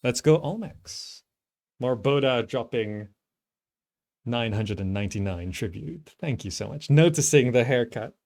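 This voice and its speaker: A man's voice: mid-range voice, lively intonation, a little slow, creaky, a little mellow. A man giving casual live commentary as he streams a strategy game, thinking aloud as he plays. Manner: thinking aloud while playing.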